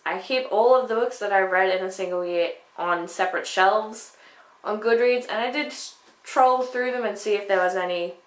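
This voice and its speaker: A woman's voice: medium pitch, a bit bright. A woman book vlogger chatting casually to camera, emphatic, often repeating words to make her point. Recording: echoey room.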